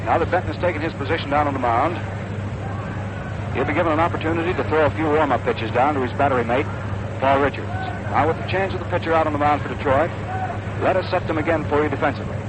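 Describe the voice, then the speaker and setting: High-voiced 1940s American radio baseball announcer, noisy recording. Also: male voice, quick pace. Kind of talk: 1940s radio sports commentary. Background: loud steady background noise.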